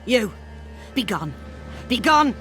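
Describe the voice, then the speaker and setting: An old peasant granny from a fantasy game. Her voice sits a little high, and she scolds and mutters in rustic speech, guarding against strangers. Soft music plays underneath. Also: very animated, slow, heavily acted. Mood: scolding, wary. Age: older. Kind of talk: video game character acting.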